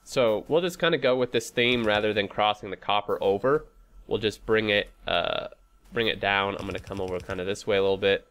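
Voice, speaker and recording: Male gaming host, fairly low voice, nasally stuffed from a head cold; casual, hesitant, talks through plans as he plays. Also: a little fast, fairly bright tone. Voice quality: stuffy, congested nose. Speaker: host of a gaming playthrough series. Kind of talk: casual gameplay commentary.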